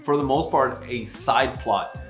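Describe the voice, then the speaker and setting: A man's voice: mid-range voice, unhurried, a bit bright. Man chatting casually in an echoey room. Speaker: book vlog co-host. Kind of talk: casual book chat. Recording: roomy echo, faint music behind.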